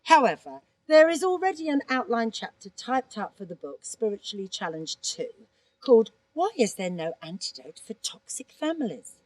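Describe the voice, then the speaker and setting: A woman's voice: on the high side, big pitch swings, moderate pace, no echo, low-quality recording. A woman narrating comically in a haughty, dramatic way. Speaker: author reading a book aloud in character.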